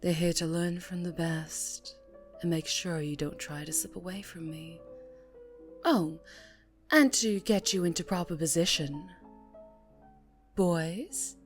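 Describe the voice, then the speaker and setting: A woman playing a dangerously charming female mafia boss in an ASMR roleplay, teasing and condescending with quiet, possessive menace. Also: fairly low pitch, slow, pauses often, soft background music. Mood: menacing, relishing.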